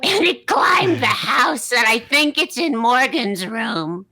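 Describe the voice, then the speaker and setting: A performer voicing a timid, scared little girl with drawn-out, childlike pleading in a comic story reading. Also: medium pitch, lively intonation, bright, forceful tone, voice pushed up, heavily acted. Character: scared, quiet little girl calling for her daddy.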